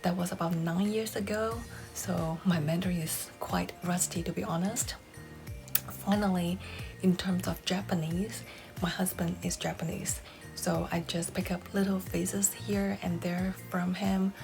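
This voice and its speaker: Woman vlogger in her forties, Cantonese native, measured and careful, slight fry, music bed under her. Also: lowish voice, fairly even intonation. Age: 40s. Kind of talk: scripted personal vlog.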